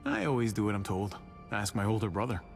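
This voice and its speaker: An actor playing a glib younger brother and survivor in a video game, casual and wryly diplomatic. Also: male voice, fairly low pitch, lively intonation, fast talker, vocal fry. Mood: wry, agreeable. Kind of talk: naturalistic dramatic game acting. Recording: long reverb, music under the voice.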